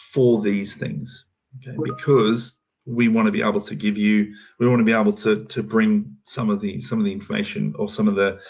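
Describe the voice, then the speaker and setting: A man's voice: lowish voice, creaky, low-quality recording. An Australian man talking loosely on a video call, explaining an opportunity with many fillers and restarts. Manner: rambling, full of fillers and restarts. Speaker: program manager on a community call. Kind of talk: casual explanatory talk.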